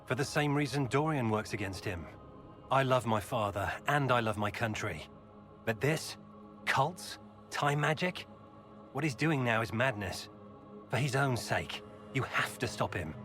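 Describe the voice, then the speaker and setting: An actor playing an earnest, worried young nobleman in a video game, speaking in a measured way. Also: mid-range voice, animated, a bit bright, faint music behind. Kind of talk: video game dialogue acting.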